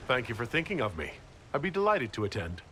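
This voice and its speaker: An actor playing a courteous, good-humored leader of a fantasy order in a video game, gently teasing and sincere. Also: male voice, mid-range voice, huge pitch swings, fast talker, a little hiss or hum. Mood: warm, teasing. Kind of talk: video game cutscene acting.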